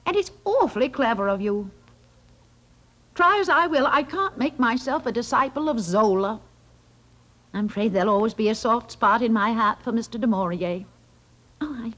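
An actress playing a timid, prim middle-aged spinster schoolteacher who stammers and frets in a stage monologue. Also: female voice, fairly high pitch, poor audio. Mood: wistful. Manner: fluttery, stammering, self-pitying.

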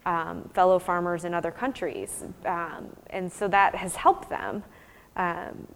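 A woman's voice: fairly low pitch, fairly even intonation, a little slow, vocal fry, a little hiss or hum. An American woman researcher giving an academic talk and answering questions informally, thoughtful and explanatory in her phrasing. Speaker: researcher presenting fieldwork and answering audience questions. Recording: long hall reverb.

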